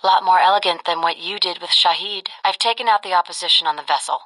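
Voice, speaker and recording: A woman's voice: on the low side, steady tone, quick pace, sharp tone, poor audio. An actress playing a cool, wry, self-possessed woman photojournalist and sniper in a video game. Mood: focused, businesslike. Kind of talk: video game voice acting, naturalistic dialogue.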